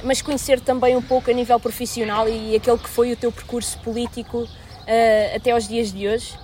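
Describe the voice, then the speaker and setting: Portuguese woman hosting, a bit high-pitched, formal intro w some uhs, faint hiss. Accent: European Portuguese. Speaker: interview host. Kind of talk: hosting a youth political videocast.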